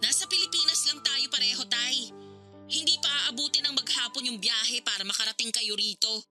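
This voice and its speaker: A woman's voice: on the high side, a little fast, close to an everyday voice. An actress playing a hurt, resentful grown daughter reproaching her father in an emotional radio drama. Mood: reproachful. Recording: dramatic music behind the voice. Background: music.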